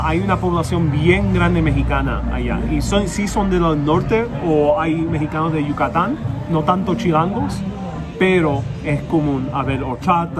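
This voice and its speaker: A fairly high-voiced man from New York, speaking with a New York accent, hosts a live-streamed street vlog outdoors. He chats upbeat and casual, reading viewer comments aloud and mixing in Spanish. His clip-on wireless mic is competing with loud music blaring nearby. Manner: chatty and upbeat, switches between English and Spanish. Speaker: travel vlogger, live-stream host. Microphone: wireless clip-on mic on a phone gimbal.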